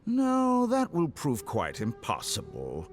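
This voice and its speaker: A man's voice: on the high side, huge pitch swings, slow, faint music behind, full character voice. A man playing a coldly mocking, theatrical villainous doctor in a video game, condescending and mock-polite. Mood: mocking, menacing. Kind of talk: dramatic video game voice acting.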